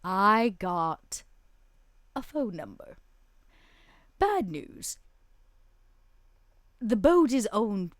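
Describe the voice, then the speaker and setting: A woman's voice: medium pitch, sing-song, dry recording, clean studio sound. A woman voicing a poised female vampire in a tabletop game, coy and elaborately polite, with silky menace. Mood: wry, composed. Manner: slow, drawn-out, overly polite.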